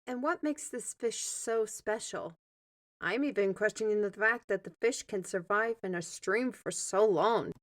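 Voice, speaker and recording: A woman's voice: medium pitch, unhurried, natural voice. A woman playing a scrappy, sardonic squire in a fantasy audio drama, with a wry and informal way of talking. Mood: wry, skeptical. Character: sardonic, scrappy female squire, quick to quip.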